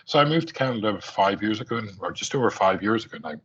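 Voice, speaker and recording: A man's voice: lowish voice, expressive, fast, fairly bright tone, slightly rough, highs cut off. A middle-aged man from Scotland with an Aberdeen accent, giving a conference presentation in a conversational way, with many fillers and restarts. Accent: Scottish, Aberdeen. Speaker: conference speaker.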